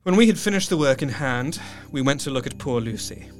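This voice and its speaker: An actor playing a sorrowful, earnest doctor who narrates a gothic tale in measured, literary phrases. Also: male voice, mid-range voice, expressive, faint music behind, natural voice. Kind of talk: dramatic scripted narration.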